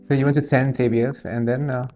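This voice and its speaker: Indian man as interviewer, brisk delivery, mid-pitched, informal probing questions, faint music underneath. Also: flat delivery, warm tone.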